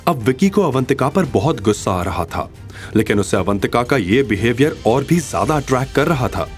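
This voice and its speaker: Animated male story narrator. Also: medium pitch, moderate pace. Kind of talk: dramatic scripted story narration. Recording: faint music behind.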